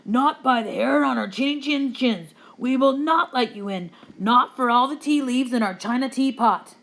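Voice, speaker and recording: A woman voicing three small, stubborn wolf cubs in a storybook, with high, loud chanted replies. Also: moderate pace. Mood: defiant.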